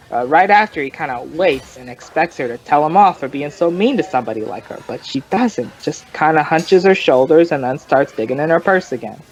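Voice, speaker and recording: An actor playing a folksy, rambling male informant who tells his story in colloquial, drawn-out fashion, in old-time radio crime drama style. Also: on the high side, lo-fi sound, close to an everyday voice.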